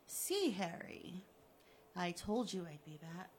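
A woman voicing a sadistic man who taunts his victim in a soft, mocking, drawn-out way. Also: lowish voice, sing-song, slight background noise. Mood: taunting. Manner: mocking, softly menacing, drawn out. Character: gloating sadistic male killer, taunting.

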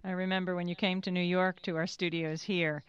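A woman's voice: on the low side, flat delivery, a little fast, smooth, dull audio. A woman interviewer asking brief, direct questions.

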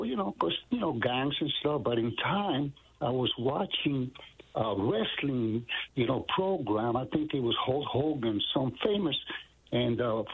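Older man, from Puerto Rico, radio caller, husky, phone-line sound, earnest, rambling. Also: medium pitch. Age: 60s. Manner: earnest, rambling storytelling with many fillers. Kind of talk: phone-in call.

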